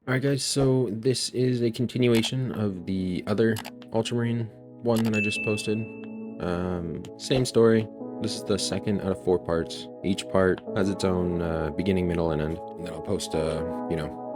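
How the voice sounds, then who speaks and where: A man's voice: low-pitched, fairly even intonation, moderate pace. A man hosting a channel, giving a casual, unscripted update in a loose, rambling way. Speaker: channel host. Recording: loud music under the voice.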